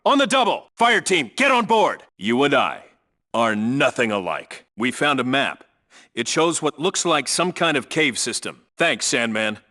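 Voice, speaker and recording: An actor playing a terse, commanding Navy SEAL team leader, giving clipped, businesslike reports in a military video game. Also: male voice, mid-range voice, pushed and bright. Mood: urgent shouting, then steady, businesslike.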